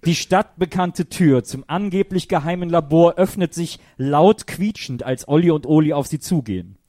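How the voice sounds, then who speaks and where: A man's voice: fairly high pitch, moderate pace, mellow, no echo, clean studio sound. A man narrating a radio play in a dramatic, scripted storytelling style.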